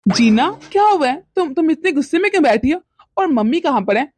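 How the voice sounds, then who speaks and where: एक औरत घरेलू मेलोड्रामा कहानी में माँ के भक्त, नरम और उलझन में पड़े जवान पति का किरदार निभा रही है, जो घबराकर शब्द दोहराता है।. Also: female voice, high-pitched, animated, brisk, a little mellow. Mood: puzzled, coaxing. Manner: flustered, repeats words in questions. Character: young husband, devoted son, bewildered and mild. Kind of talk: melodramatic domestic story acting.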